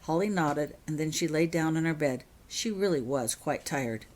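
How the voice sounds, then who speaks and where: Low-ish female storyteller reading aloud. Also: expressive, normal speed, darkish tone. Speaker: author reading own fiction. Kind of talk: scripted story narration.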